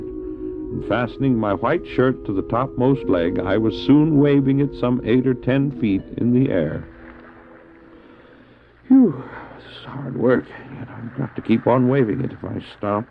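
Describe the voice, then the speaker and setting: An actor playing a devout missionary stranded on drifting ice in an audio drama, speaking with solemn, earnest, deliberate phrasing. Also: male voice, lowish voice, vocal fry. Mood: strained determination. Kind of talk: audio drama acting with narrated recollection and prayer. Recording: muffled phone-like old cassette drama, music.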